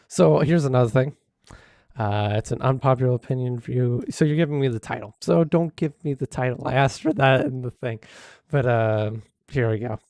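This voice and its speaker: A hoarse man live-streaming, casually critiquing videos with many fillers and restarts. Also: mid-range voice, a little mellow, dry recording, studio quality.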